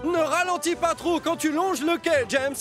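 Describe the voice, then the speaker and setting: Actor playing a small male cartoon locomotive, very high voice, eager, principled, earnest and emphatic. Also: normal speed, music in the background, close to an everyday voice. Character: eager, principled little talking steam engine, male. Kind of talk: animated children's series dub.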